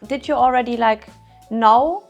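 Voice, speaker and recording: A woman's voice: on the high side, unhurried, gravelly, dull audio, soft background music. A young woman with a German accent, working as a reporter in a casual interview style.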